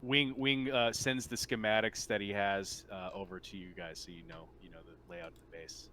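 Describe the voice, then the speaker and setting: A man running a tabletop role-playing game, improvising scene narration in a halting, informal way. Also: on the low side, steady tone, pushed and bright. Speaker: game master. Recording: noisy room with typing.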